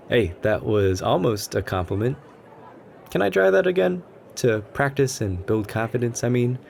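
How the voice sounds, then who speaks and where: A man playing a shy, anxious and gentle young stranger who rambles self-consciously in a soft ASMR roleplay. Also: medium pitch, big pitch swings, measured pace, gravelly, long pauses, a faint crowd. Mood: nervous, hopeful. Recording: background ambience noise. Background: coffee shop ambience.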